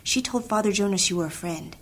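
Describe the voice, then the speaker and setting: An actress playing a gentle, earnest young princess who is a healer, speaking softly and urgently in a fantasy audio drama. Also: female voice, medium pitch, a little fast, a little hiss or hum, close to an everyday voice. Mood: pleading whisper. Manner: soft, urgent, often hushed.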